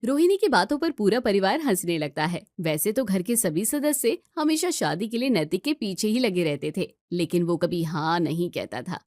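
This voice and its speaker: A woman's voice: mid-range voice, sing-song, moderate pace, hardly any pauses, a little mellow, no echo. Woman narrating a story, close dry sound.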